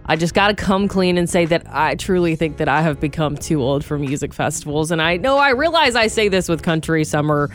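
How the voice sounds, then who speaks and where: A woman's voice: sits low, expressive, fairly quick, hardly any pauses, faint music behind. Scratchy-voiced woman in her thirties, radio co-host. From Northern California. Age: 30s. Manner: chatty, laughs often, candid. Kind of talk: casual morning radio banter.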